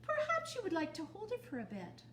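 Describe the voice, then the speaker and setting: A woman's voice: extremely high pitch, huge pitch swings, fairly quick, noisy background, pitched above the performer's own voice, heavily acted. A woman voicing a doting, gushing wife in a children's storybook. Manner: cooing and gushing.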